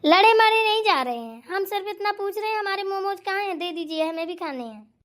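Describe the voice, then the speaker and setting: Woman acting high-pitched, quarrelsome young sister-in-law. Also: expressive, fairly quick, a bit bright. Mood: insistent, aggrieved. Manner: insistent, complaining. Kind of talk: family comedy skit acting.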